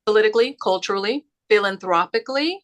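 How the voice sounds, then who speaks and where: A woman's voice: medium pitch, fast talker, lightly acted. A woman playing an apologetic, harried journalist with a hesitant, deferential manner in a comedy play reading. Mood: admiring. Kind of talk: comedy play table read.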